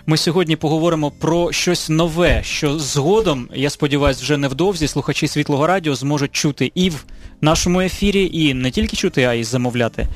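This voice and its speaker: A man hosting a radio interview, speaking in halting, hesitant phrases. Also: fairly high pitch. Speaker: radio host. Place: radio studio.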